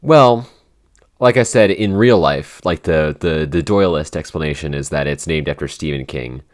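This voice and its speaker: A man in a casual unscripted podcast Q&A, talking in a halting, rambling way with lots of "like". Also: a bass voice. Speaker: podcast creator and co-host answering listener questions.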